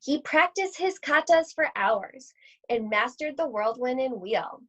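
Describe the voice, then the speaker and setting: High, animated woman reading a storybook. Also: unhurried. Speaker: read-aloud host at an elementary school. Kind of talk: expressive children's picture-book read-aloud. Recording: dull, lo-fi sound.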